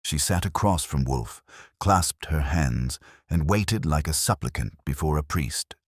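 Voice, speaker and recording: Very deep-voiced man narrating detective fiction. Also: animated, measured pace, husky, no room sound, studio quality. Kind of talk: scripted detective story narration, first person.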